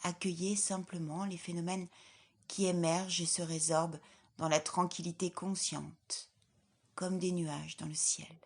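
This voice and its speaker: A woman leading a guided yoga nidra relaxation as an instructor, in a calm, soothing, measured way with soft, breathy phrase endings. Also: lowish voice. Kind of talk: guided relaxation meditation, scripted.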